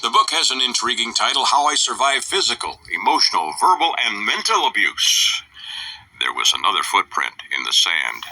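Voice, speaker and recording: A man's voice: mid-range voice, sing-song, normal speed, sharp tone, dry recording, rough recording. A man hosting an author interview, asking questions with many uhs and a little light joking. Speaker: talk show host. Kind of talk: interview host.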